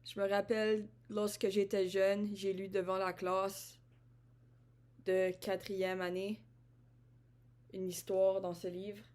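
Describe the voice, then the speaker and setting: A woman's voice: medium pitch, little pitch movement, slow, long pauses, a little mellow. A woman from Ottawa talks to the camera in a rambling, introspective vlog monologue, often repeating and restarting her phrases. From Ottawa, Canada. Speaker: vlogger.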